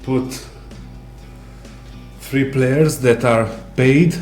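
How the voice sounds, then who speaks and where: A man co-hosting a casual sports discussion, speaking haltingly with non-native English phrasing. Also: medium pitch, darkish tone. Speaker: co-host. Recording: roomy echo, music underneath.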